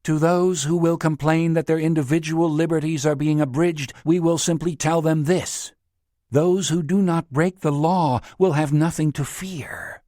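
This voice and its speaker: A male audiobook narrator voicing a smooth-talking tycoon who delivers a grand speech in a velvety, oratorical manner. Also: fairly high pitch, steady tone, unhurried, dark tone, no echo, clean studio sound, voice pushed up, natural voice.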